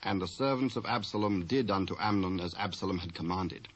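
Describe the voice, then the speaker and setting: A man's voice: deep voice, fairly quick. A British man giving a scripted dramatic narration of the Bible in formal archaic English. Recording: dull old cassette transfer.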